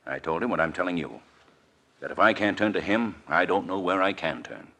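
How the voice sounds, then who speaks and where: An actor playing an earnest, emphatic city official in a 1940s radio mystery. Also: male voice, low voice, lively intonation, fairly quick, bright, forceful tone, full character voice. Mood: urgent, insistent. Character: earnest, insistent male city official under pressure.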